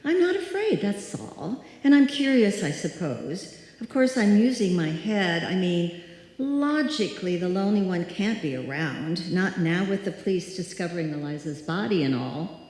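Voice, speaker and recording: A woman's voice: on the low side, very animated, normal speed, a little mellow. Woman acting stubborn, brave-faced woman, swooping delivery, echoey hall. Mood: defiant, insistent. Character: stubborn, proud single woman walking home at night, putting on bravery. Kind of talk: old-time radio suspense acting, performed live. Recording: echoey hall, music behind.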